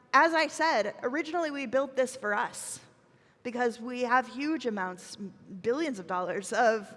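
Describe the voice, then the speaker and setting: A woman with a fairly high voice delivers a tech keynote. She explains in a conversational manner, as a presenter talking to colleagues would. The sound carries the long reverb of a large hall. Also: fairly bright tone. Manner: conversational, explanatory, checking in with "right?". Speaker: keynote speaker, software executive. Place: large conference hall stage.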